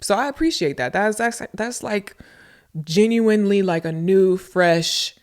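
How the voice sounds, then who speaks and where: A woman's voice: mid-range voice, expressive, a little slow, a little mellow. A woman hosting a podcast in a casual, informal way, talking directly to her listeners and repeating phrases for emphasis. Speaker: podcast host and content creator. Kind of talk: casual solo podcast talk answering listener questions.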